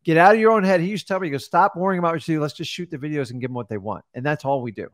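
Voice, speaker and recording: A middle-aged man hosting a studio podcast, coaching viewers in a forceful, direct way. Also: fairly high pitch, racing, no echo. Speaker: host. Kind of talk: casual podcast coaching talk. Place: studio with backdrop. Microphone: studio mic on boom arm.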